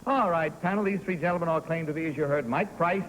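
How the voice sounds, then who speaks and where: A man hosting a 1950s TV game show with brisk, genial announcer delivery. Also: fairly high pitch, fairly bright tone. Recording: noisy 1950s TV broadcast.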